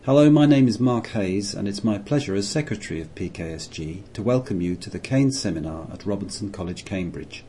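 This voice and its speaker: A man with a low, mellow voice reads a formal introduction to a recorded seminar. He speaks as the host in a measured, scripted manner. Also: lively intonation, a little hiss or hum. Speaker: host and society secretary.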